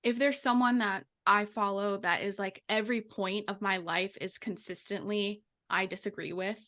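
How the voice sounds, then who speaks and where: A woman's voice: mid-range voice, steady tone, normal speed, fairly bright tone. A woman co-hosting a podcast, reasoning aloud in a reflective, conversational way. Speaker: podcast co-host. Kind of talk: casual podcast chat.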